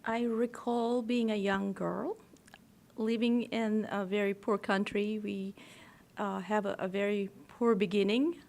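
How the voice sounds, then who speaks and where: A woman from the Philippines with a Filipino accent, telling her story as an interview guest in halting phrases. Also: medium pitch, steady tone, vocal fry, darkish tone.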